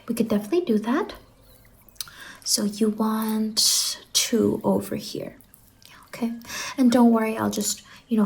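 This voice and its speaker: A woman playing a whimsical, reassuring salon stylist in an ASMR roleplay, speaking softly and gently on a close microphone and often slipping into whispers. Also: on the high side, low-quality recording. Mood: soothing, attentive. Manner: gentle, reassuring, drawn-out words. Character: whimsical, reassuring female fever-dream hair salon stylist.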